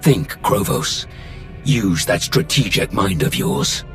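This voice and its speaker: Scheming Sith villain, deep male voice, slow threat, game score faintly behind. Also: very animated, fairly bright tone, faint music behind, exaggerated voice. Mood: menacing. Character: menacing male Sith warlord, cold and scheming. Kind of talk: video game cutscene acting.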